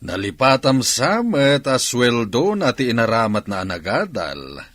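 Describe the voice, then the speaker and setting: A teasing Ilocano village gossip in a radio comedy. His medium-pitched voice swings up and down in lively fashion, recorded dry. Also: measured pace, no room sound. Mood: gossipy. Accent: Filipino, Ilocano. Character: gossipy, teasing village man talking about neighbours. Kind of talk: comedy radio drama acting.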